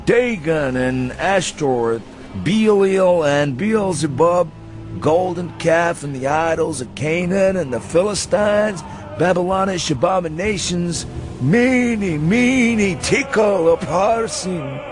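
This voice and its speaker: A man's voice: sits high, takes it slowly, over music. A man voicing a drunken, hysterical old man with a rural New England accent and rustic, goading speech in a dramatised story reading. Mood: brooding, mocking. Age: elderly. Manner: rustic dialect, goading questions ending in "huh?". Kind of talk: dramatised audiobook reading for radio.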